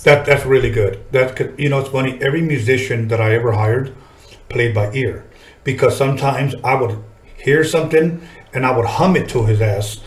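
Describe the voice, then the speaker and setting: A man's voice: medium pitch, normal speed. A middle-aged man hosting a podcast, talking casually with slang and profanity in an encouraging way. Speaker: podcast host. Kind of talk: casual interview chat. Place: small podcast studio, indoors. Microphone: desk microphone. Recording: roomy studio echo.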